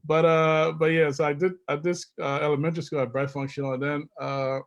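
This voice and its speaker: A man's voice: fairly high pitch, little pitch movement, a little fast, highs cut off. A middle-aged man speaking as an interview guest, informal, often restarting and repeating his phrases. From Liberia. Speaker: guest, investment consultant. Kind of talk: casual interview chat.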